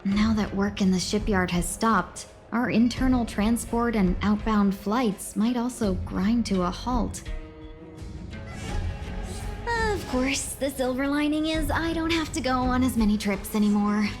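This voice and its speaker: A woman's voice: on the high side, moderate pace, few pauses, over music, lightly acted. A woman voicing a sly, playful, genteel young businesswoman guide in a video game, coy and polished in manner. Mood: wistful.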